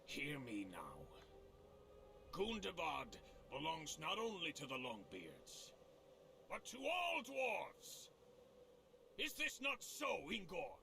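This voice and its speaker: A man's voice: fairly high pitch, huge pitch swings, slow pace, creaky, long pauses, pushed and bright, a little hiss or hum, full character voice. A male actor playing a proud, regal dwarf king in a fantasy video game, declaiming with authority. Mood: solemn, commanding.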